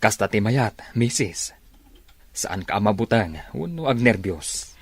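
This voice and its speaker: A man with an Ilocano accent playing a calm doctor in a radio drama, speaking in a measured, reassuring way. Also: fairly low pitch, slightly rough, exaggerated voice.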